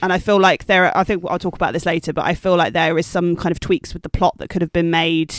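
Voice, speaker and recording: High, very clear-voiced man discussing film. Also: flat delivery, fast talker, fairly bright tone, no echo, clean studio sound. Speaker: podcast co-host and film critic. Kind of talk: casual analytical film discussion.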